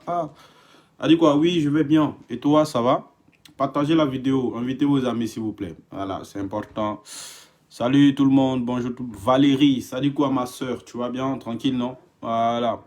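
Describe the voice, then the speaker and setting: A man's voice: medium pitch, steady tone, moderate pace, a little mellow. Impassioned man streaming live. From West Africa. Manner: greets viewers by name, grows emphatic and impassioned. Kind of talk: casual live stream monologue. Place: home indoors.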